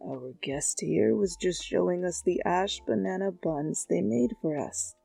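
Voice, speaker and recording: A woman voicing a genial, courteous old man, unhurried and gently teasing, in a fan fiction reading. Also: lowish voice, expressive, creaky, slightly rough, soft background music, exaggerated voice. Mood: amused. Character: genial, mellow old uncle, hospitable tea maker.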